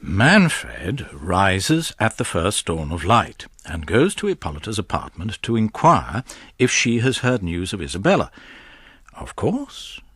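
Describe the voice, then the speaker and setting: An actor playing a sanctimonious, slyly calculating priest in a radio drama, measured and pompous, with confiding asides. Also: male voice, sits low, wildly swooping pitch, a bit bright, breathy edge, no echo, heavily acted. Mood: smug narration.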